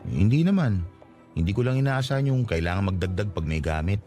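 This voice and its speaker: Low-voiced, modest young provincial man played by an actor. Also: expressive, brisk, warm tone, faint music behind, lightly acted. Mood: polite, unsure. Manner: polite, hesitant. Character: modest, polite provincial newcomer looking for cheap lodging. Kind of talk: Tagalog radio drama acting.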